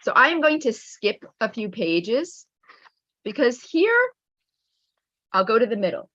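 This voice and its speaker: Bright, animated middle-aged woman teaching, dull recording. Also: a little high, unhurried. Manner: expressive, encouraging, emphatic. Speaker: teacher trainer and read-aloud presenter. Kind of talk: teaching webinar with animated picture-book reading. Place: at home on an online video call. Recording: dull, lo-fi online call audio.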